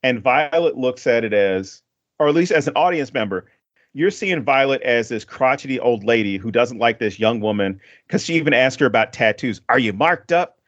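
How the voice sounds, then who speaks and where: Male podcast host, casual and rambling, given to laughs, mid-pitched, slightly muffled audio. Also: expressive, normal speed, a bit bright. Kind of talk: casual film discussion.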